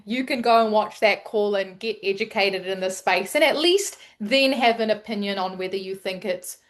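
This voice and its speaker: A woman's voice: mid-range voice, moderate pace. A woman co-hosting a casual group talk, speaking in an encouraging, upbeat way with many fillers. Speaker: co-host educator. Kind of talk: casual motivational chat.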